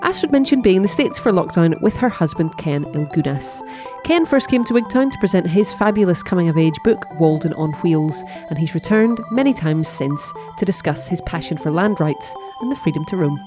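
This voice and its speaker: A woman's voice: fairly low pitch, lively intonation, a little fast, few pauses, music in the background. A woman hosting a festival interview, asking questions and introducing guests. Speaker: festival podcast host.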